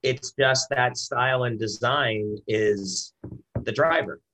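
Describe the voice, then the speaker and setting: A man's voice: mid-range voice, takes it slowly, pushed and bright. A man speaking as a brand director and interview guest in a conversational business style, often repeating words as he explains.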